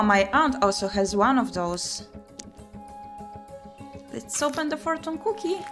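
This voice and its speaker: A woman streamer reading a visual novel aloud in an expressive, theatrical way as she plays through it. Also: mid-range voice. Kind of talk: expressive read-aloud of visual novel text with playthrough commentary.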